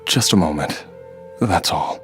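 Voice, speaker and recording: An actor playing a gentle, weary dying husband and father in a video game, speaking in a halting, tender way with sighs. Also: sits low, lively intonation, faint music behind. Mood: weary, grateful.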